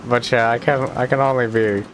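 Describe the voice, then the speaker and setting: A woman giving casual gameplay commentary as a streamer, speaking in a wry, halting manner. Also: very deep voice, steady tone, a little hiss or hum. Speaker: game streamer. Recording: game music behind.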